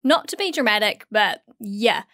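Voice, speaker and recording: A woman's voice: medium pitch, big pitch swings, normal speed, sharp tone, dry recording, clean studio sound. A woman of about thirty reading her own article in a wry, tongue-in-cheek way. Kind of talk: scripted first-person narration.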